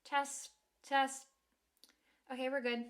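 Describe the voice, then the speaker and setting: An actress playing a meek, put-upon sister who records a ghost hunt in a radio drama, plain and hesitant in manner. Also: female voice, sits high, flat delivery, takes it slowly, very noisy. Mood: businesslike.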